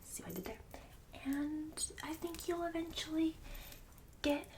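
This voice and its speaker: A woman's voice: high voice, unhurried, a little mellow, far from the mic, slight background noise. A woman playing a snobbish, bossy suburban book club hostess in an ASMR roleplay, condescending and catty with fake-sweet insults. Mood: catty, judgmental. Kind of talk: ASMR roleplay, whispered and soft-spoken.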